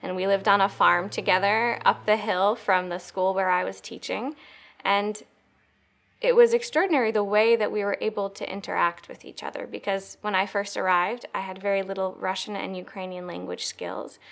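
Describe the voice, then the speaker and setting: A young American woman tells personal stories reflectively, with thoughtful, measured phrasing. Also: medium pitch, fairly even intonation, gravelly, a bit bright. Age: young adult. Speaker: poetry reader telling personal stories.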